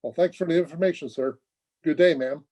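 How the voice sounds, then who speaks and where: A man's voice: on the high side, a little fast, mellow, close to an everyday voice. A man playing an earnest, very polite twenty-year-old Air Force officer in an old-time radio comedy. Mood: proud, polite. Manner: courteous, earnest, wordy.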